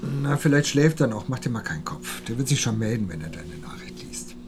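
A man's voice: mid-range voice, animated, a little fast, over music, close to an everyday voice. A man playing an easygoing, reassuring middle-aged father in casual, naturalistic radio drama dialogue.

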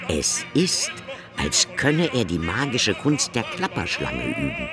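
A grave, theatrical narrator of a gothic radio play. The voice is very deep and moves slowly, with wide, dramatic pitch movement, over music. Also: male voice, huge pitch swings, heavily acted. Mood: grave fascination. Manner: deliberate, theatrical.

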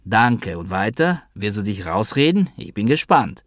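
A man's voice: fairly low pitch, very animated, measured pace, no echo, studio quality. A man playing a hurt, sarcastic younger brother, grown up and confrontational, in a literary audiobook story.

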